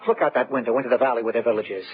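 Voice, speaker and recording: An actor playing an idealistic, stubborn farmer in a radio drama, earnest, pleading and insistent. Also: male voice, mid-range voice, racing, husky, soft background music, natural voice. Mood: urgent, protesting. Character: idealistic farmer son-in-law, earnest, stubborn, conscience-stricken. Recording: tinny, phone-like old radio sound.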